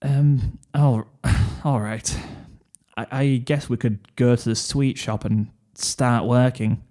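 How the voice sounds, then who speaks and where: A man's voice: medium pitch, steady tone, slow pace, dark tone, lightly acted. A man voicing a cranky, awkward student who speaks hesitantly in a story reading. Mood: reluctant. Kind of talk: fan fiction story reading.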